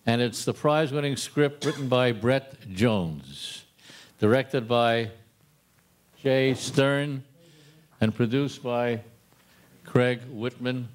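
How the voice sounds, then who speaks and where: Older mid-pitched man announcing haltingly. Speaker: presenter at a convention. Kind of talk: informal stage announcing. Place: live in front of a convention audience.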